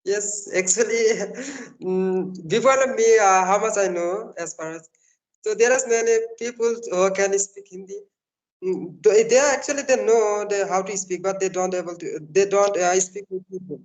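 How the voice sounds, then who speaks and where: A man's voice: high-pitched, normal speed, rough recording. A man from Assam whose first language is Bengali, speaking politely and hesitantly, with many restarts, as an English learner on a call. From Assam, India. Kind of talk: casual practice conversation.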